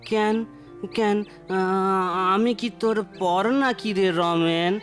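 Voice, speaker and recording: A man playing a fond, fatherly older Bengali village landlord in a cartoon, pleading in a halting, stammering way. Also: high-pitched, faint music behind. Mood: pleading, surprised. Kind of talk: cartoon voice acting.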